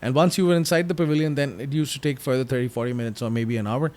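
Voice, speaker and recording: Urdu-native male YouTuber, mid-pitched, hesitant chatty narration with lots of fillers. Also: fairly even intonation, darkish tone. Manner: halting, many ums and uhs, friendly chatty. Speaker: flight sim YouTuber. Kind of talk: casual narrated gameplay commentary.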